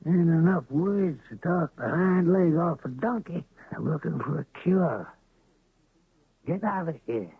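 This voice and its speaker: An actor playing a gruff, worn-out rancher and unlucky gambler with gravelly, folksy speech in an old radio drama. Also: male voice, fairly high pitch, expressive, unhurried, no echo, full character voice. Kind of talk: 1970s radio drama acting. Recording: lo-fi phone-band dry old radio recording.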